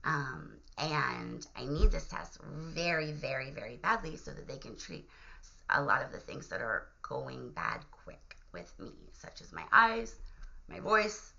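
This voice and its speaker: A woman from Virginia with a hoarse, gravelly voice makes an impassioned appeal to her viewers. Her delivery is urgent and emphatic, and her pitch swings widely before she drops into whispers. The recording sounds dull and lo-fi. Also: big pitch swings, a little slow, bright, forceful tone. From Virginia, USA. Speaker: vlogger. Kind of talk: impassioned direct-to-camera appeal.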